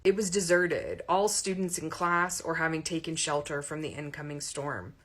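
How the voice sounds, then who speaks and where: Low-pitched woman narrating fiction. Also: fairly even intonation, normal speed, fairly bright tone. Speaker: audiobook narrator. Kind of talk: expressive narration of romantic comedy fiction.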